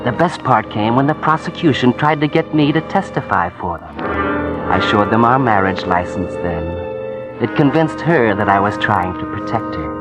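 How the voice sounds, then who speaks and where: A man's voice: fairly low pitch, animated, moderate pace, natural voice. A man playing a cold scheming husband narrating. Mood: brooding. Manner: cool, brooding narration. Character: scheming male narrator who framed his wife. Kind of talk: 1940s radio acting. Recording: dull old radio recording with music.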